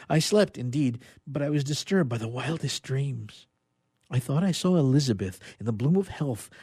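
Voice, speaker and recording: A man voicing an anguished scientist in a formal, dramatic reading of a gothic novel, with a husky voice. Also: medium pitch, darkish tone, dry recording, clean studio sound, lightly acted. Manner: formal, literary, horror-stricken. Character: anguished young male scientist recounting his horrifying creation.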